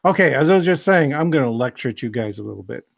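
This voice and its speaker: A man's voice: medium pitch, fast, gravelly. American man lecturing casually on a call. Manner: relaxed, digressive, with many fillers and restarts. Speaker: host, biology lecturer. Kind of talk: informal science lecture on a video call. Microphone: video call.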